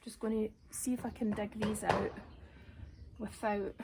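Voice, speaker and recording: Woman gardening vlogger, gravelly and raspy today, chatty, thinking aloud, outdoors with heavy background noise. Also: medium pitch, flat delivery, measured pace. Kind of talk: casual chat while gardening. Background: birdsong.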